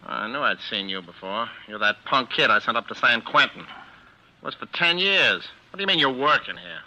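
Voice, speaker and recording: An actor playing a hostile, bullying lawyer with an American accent and a brusque, contemptuous manner, in 1940s radio style. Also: male voice, medium pitch, animated, normal speed, sharp tone, rough recording. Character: hostile, sneering male lawyer, quick to bully.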